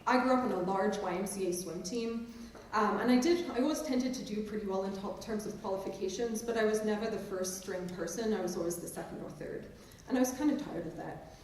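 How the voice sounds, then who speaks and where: A woman's voice: medium pitch, brisk, darkish tone, a little hiss or hum. A woman in her forties with a mixed English and New Jersey accent and a touch of Maryland Eastern Shore. She is a professor giving a conversational, self-deprecating keynote speech. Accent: mixed English and New Jersey, with some Maryland Eastern Shore. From England, raised in New Jersey. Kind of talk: speech to an audience. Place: large auditorium stage. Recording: very echoey hall, distant mic.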